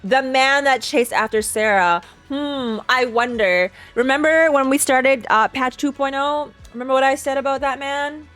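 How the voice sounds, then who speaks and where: A woman's voice: high voice, moderate pace, few pauses, fairly bright tone, soft background music. A woman streamer giving casual reaction commentary, thinking aloud and repeating herself in a chatty way. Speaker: streamer reacting to a game story.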